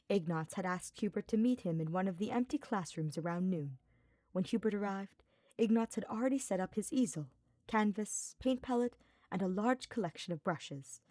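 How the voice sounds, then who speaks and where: A woman narrates a dramatic story from a script. Her voice is mid-pitched and her delivery is expressive and deliberate. The recording is close and dry, with little room sound. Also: no room sound. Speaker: audiobook narrator of fan fiction.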